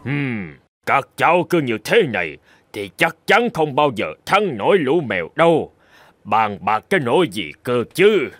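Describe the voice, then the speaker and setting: A man voicing a stern, wise elderly mouse in a cartoon, admonishing and deliberate. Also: mid-range voice, very animated, a bit bright, no echo, clean studio sound, soft background music. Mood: scolding. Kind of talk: cartoon dubbing.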